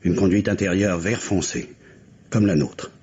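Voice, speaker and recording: A man's voice: deep voice, a little slow, darkish tone, husky, full character voice. An actor playing an anxious, devoted husband, a businessman, urgent and hesitant, in the manner of old French radio mystery drama. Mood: distraught, urgent. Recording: muffled, indistinct old radio recording.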